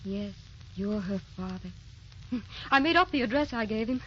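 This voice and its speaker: An actress playing a gentle, youthful woman with a secret sorrow, tender and wistful, in old-time radio drama style. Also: medium pitch, lively intonation, normal speed, slight background noise, lightly acted. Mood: quietly confessing. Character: youthful, gentle, secretly sorrowful wife posing as a distant cousin. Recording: dull old radio recording, music behind.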